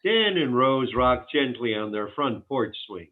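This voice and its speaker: A man's voice: mid-range voice, very animated, a little slow. A man reading out stage directions as scripted narration at a comedy table read. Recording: slightly dull online-call audio.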